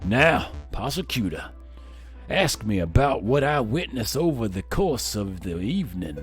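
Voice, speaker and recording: A man voicing a pompous, smug older baron in a courtroom game, speaking in a grandiose, drawn-out, theatrical way. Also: mid-range voice, big pitch swings, soft background music. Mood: haughty, smug.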